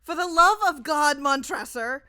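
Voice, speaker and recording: A woman's voice: high-pitched, a little slow, bright, forceful tone, pitched above the performer's own voice. A woman voicing a desperate drunken nobleman who forces jovial bravado, in a strained, theatrical storybook reading. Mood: desperate pleading.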